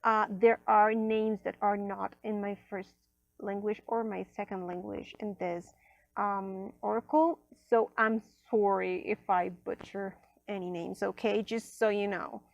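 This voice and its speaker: A woman whose first language is Spanish, casually reviewing an oracle deck at a table, chatty, with many fillers and soft near-whispered asides. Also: medium pitch, steady tone, unhurried, gravelly, no room sound, lo-fi sound. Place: indoors at a table.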